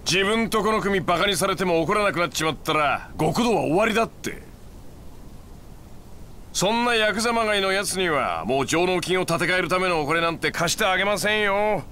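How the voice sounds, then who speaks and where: A man's voice: sits high, animated, brisk, pushed and bright, a little hiss or hum. An actor playing a smug, laid-back male moneylender in his thirties, lecturing gangsters in a glib, needling way in a game cutscene. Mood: pointed warning. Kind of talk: video game cutscene acting.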